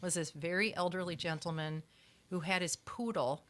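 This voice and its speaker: A woman's voice: lowish voice, normal speed, fairly bright tone. A woman who co-hosts a casual talk show. She chimes in with short remarks and reminisces through laughter. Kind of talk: casual chat.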